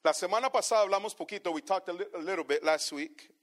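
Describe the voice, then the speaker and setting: A man's voice: sits high, quick pace, fairly bright tone. A pastor preaching to his congregation, saying each line in one language and then repeating it in the other, and often calling his listeners brothers. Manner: keeps addressing the congregation as brothers. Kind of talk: bilingual sermon.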